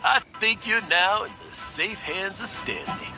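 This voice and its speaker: A man's voice: high voice, unhurried. A man voicing a pompous, boastful male adventurer in a video game, talking in a swaggering way. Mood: pompous, bragging. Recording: music under the voice.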